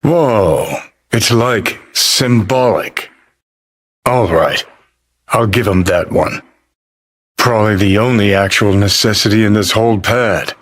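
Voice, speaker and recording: A sardonic male rocker rebel from a video game, speaking in a fairly low, gruff voice. He takes his time over every phrase, cynical and foul-mouthed, with a dry edge of disdain. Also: a bit bright. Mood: mocking. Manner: sardonic, unhurried, gruff delivery. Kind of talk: video game character dialogue.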